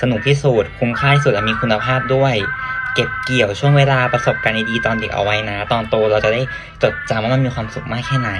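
A man speaks very quickly in a casual, chatty way, addressing young viewers like an older sibling. His pitch is average, and music plays clearly behind him. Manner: chatty, calls self older sibling, uses feminine polite particles. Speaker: actor giving a holiday greeting to children. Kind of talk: casual scripted-free greeting to viewers.

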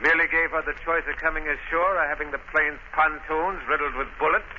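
An actor playing a ruthless, scornful crime boss, sneering and commanding in 1930s radio style. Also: male voice, on the high side, steady tone, brisk, lightly acted. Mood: gloating. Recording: dull, noisy 1930s radio recording.

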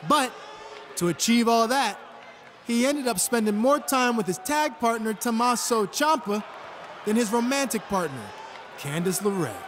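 An actor playing a cocky, taunting wrestling champion making a boastful, deliberate speech in a video game. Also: male voice, very high-pitched, expressive. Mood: smug. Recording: loud arena crowd noise.

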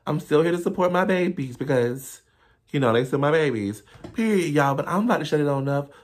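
Animated young man. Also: on the high side, brisk. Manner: animated, playful, dramatic exclamations. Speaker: reaction YouTuber. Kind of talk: casual reaction commentary. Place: small home living room, indoors.

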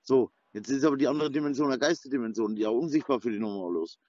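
A man's voice: mid-range voice, fast talker, a little mellow, no echo, highs cut off. A man chatting casually in a slangy, sweary, rambling way. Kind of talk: casual chat, voice messages and phone talk.

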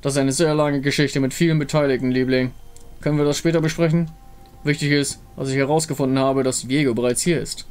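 A man's voice: mid-range voice, fairly even intonation, quick pace, darkish tone, clean tone, lightly acted. Guy as a worried warrior husband in a game story, protective, earnest.

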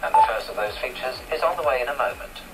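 A man's voice: mid-range voice, lively intonation, fast talker, heavy background noise. A man presenting a scripted radio magazine show, heard through a shortwave receiver. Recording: distant shortwave reception, loud music.

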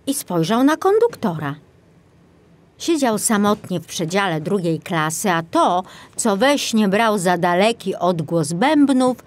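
A woman reading a children's audiobook as scripted narration. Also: lowish voice.

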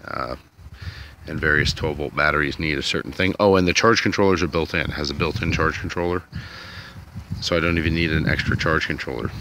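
A man's voice: a bass voice, fairly quick, sharp tone, a little hiss or hum. A man vlogging outdoors in a sunny yard, thinking aloud in a rambling, unsure way while filming on a phone. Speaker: DIY vlogger. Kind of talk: casual thinking-aloud commentary.

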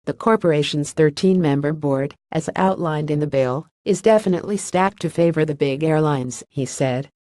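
A text-to-speech style voice reading a wire news story at a middling pitch. The delivery stays even and uninflected from sentence to sentence. The sound is dry and close, with no room around it. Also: normal speed, hardly any pauses, dry recording. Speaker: news reader.

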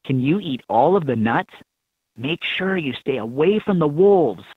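A man's voice: fairly high pitch, animated, a little slow, slightly rough, dry recording. A man voicing an upbeat helper who gives scripted instructions in a children's educational game. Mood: upbeat, instructive. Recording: tinny, band-limited handheld game audio clips.

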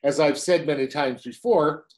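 A man's voice: fairly high pitch, normal speed. A man in his sixties, a pastor, preaching a sermon from home to a webcam in a chatty way, with wry asides.

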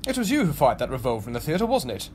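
A woman playing a breezy, wry, old-fashioned English gentleman in an amateur radio play. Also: sits low, very animated, fast. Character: flippant, bemused English gentleman, dryly chivalrous. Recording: homemade recording with noticeable noise.

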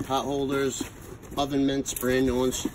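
Male treasure-hunt vlogger, casual and complaining in a playful way, recorded with very loud background rumble. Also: completely flat delivery, unhurried. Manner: grumbling, half-joking commentary. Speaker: treasure hunter going through a storage unit. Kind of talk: casual unscripted chat while sorting items. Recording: very noisy handheld recording, engine-like rumble.

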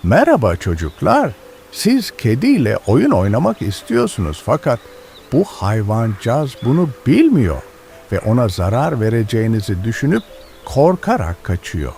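A man's voice: mid-range voice, wildly swooping pitch, normal speed, vocal fry, soft background music. An actor playing a kind, pious old village grandfather who teaches patiently in a children's cartoon. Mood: gently moralizing. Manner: instructive, gentle moralizing. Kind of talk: educational children's cartoon dialogue.

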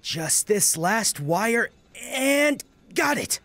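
Male narrator as bashful young female tech, light delivery, higher pitch, audiobook character. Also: very animated, very slow, a bit bright, exaggerated voice. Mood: busy.

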